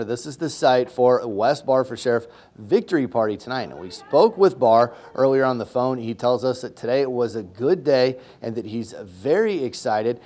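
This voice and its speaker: An American male field reporter gives a live TV news report. His voice sits at an average pitch as he relays updates from a remote location. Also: a little mellow. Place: hotel conference center, live remote.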